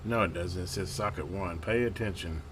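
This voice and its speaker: A man giving casual hands-on commentary as a hobbyist host, halting and muttering to himself as he works. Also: low-pitched, background noise. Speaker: vintage radio hobbyist hosting a repair video.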